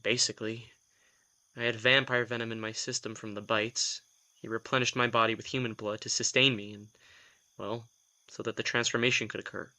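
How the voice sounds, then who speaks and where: A gruff, defensive young vampire who answers in short, prickly bursts. His voice is bright and forceful, and his pitch sits in a middling range. Audio drama acting. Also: flat delivery. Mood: exasperated. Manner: terse, prickly, quick to snap.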